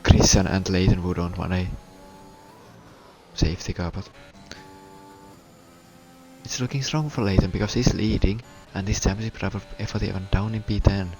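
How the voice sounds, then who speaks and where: A Finnish man commentating a sim race in English, a second language for him, with a halting, stuttering delivery. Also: low-pitched, a little mellow, soft background music. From Finland. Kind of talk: live sim racing commentary.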